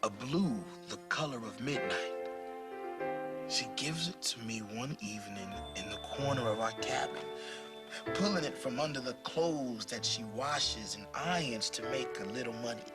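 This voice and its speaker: A man narrating a storybook aloud in an expressive, dramatic way with varied phrasing. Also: medium pitch, unhurried. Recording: clear music playing behind the voice.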